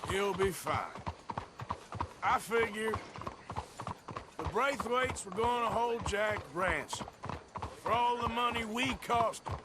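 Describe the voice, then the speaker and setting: Slow-drawling American outlaw. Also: male voice, high-pitched, very noisy. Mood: calm, reasoning. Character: steady, dry-witted Wild West outlaw gunman. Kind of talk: video game cutscene acting.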